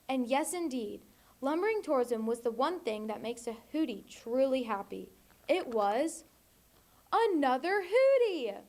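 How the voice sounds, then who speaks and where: A woman's voice: high voice, darkish tone. A teenage young woman reading a children's storybook aloud. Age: teens. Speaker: volunteer storybook reader.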